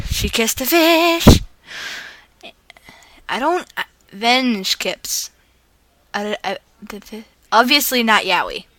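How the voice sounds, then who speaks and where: A woman with a bright, forward voice reads fan fiction out loud. She is playful and dramatizes the dialogue as she goes. The sound is close and dry. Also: a little high, expressive, takes it slowly, long pauses, no room sound. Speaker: fan fiction reader and video host.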